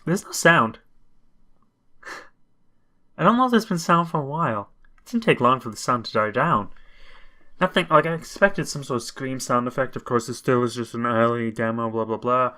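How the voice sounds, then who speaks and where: A man reading a visual novel aloud with casual commentary, halting and breaking off for wry asides. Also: mid-range voice, bright, forceful tone. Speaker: video game commentator.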